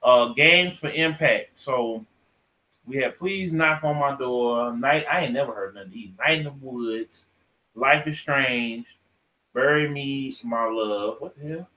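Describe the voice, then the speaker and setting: A man's voice: mid-range voice, unhurried, bright, forceful tone, low-quality recording. A man hosting a podcast in an informal, slangy way, chatting casually and reading through lists. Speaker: podcast host.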